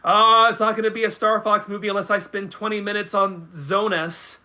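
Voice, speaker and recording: A man giving casual commentary as a guest, halting and often restarting his phrases. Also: high voice, level tone, pushed and bright. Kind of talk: casual chat.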